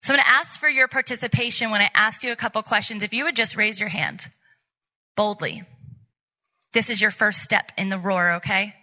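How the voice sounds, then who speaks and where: A woman's voice: medium pitch, a little fast, sharp tone, low-quality recording. A woman conference speaker giving an earnest, exhorting faith talk, repeating phrases for emphasis. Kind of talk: motivational faith talk to an audience.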